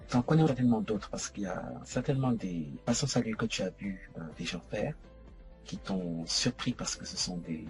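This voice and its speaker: A man hosting an educational video, explaining informally to the viewer in a hesitant way, often repeating and correcting himself. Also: lowish voice. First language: French. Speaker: host of an educational video series.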